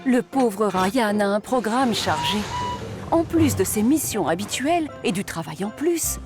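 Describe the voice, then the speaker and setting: A woman narrator telling a scripted children's cartoon story in an expressive storytelling manner. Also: mid-range voice, a little slow, over music.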